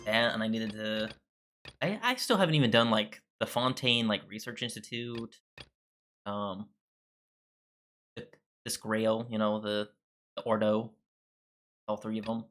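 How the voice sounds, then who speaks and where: A man's voice: on the low side, unhurried, long pauses, a bit bright. A man giving informal gaming commentary, thinking aloud loosely as he plays. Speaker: gaming streamer. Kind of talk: casual playthrough commentary. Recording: game music under the voice. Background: game music.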